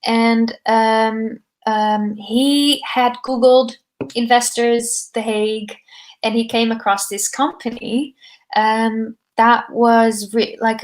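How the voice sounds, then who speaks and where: A soft-spoken woman in her twenties, a startup founder answering podcast interview questions into a microphone, with frequent ums. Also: a little high. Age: 20s.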